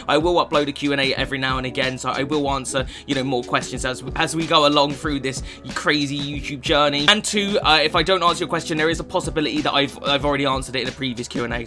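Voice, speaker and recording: A twenty-one-year-old man, casually answering fan questions for his gaming channel, chatty and sweary, with many restarts. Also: medium pitch. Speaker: gaming YouTuber.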